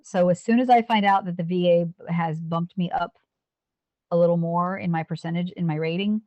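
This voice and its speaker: Earnest fifty-year-old woman, halting speech. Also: lowish voice, fairly even intonation, dark tone, dry recording, highs cut off. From United States. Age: 50s. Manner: earnest, halting, with frequent restarts. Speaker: guest, police officer and Navy veteran. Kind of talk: candid interview talk.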